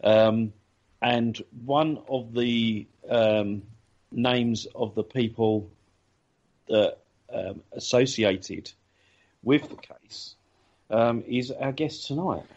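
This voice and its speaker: A man's voice: mid-range voice, very slow, a little mellow. A man hosting a casual radio chat show, talking with many ums and restarts. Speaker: podcast host. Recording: dull lo-fi audio.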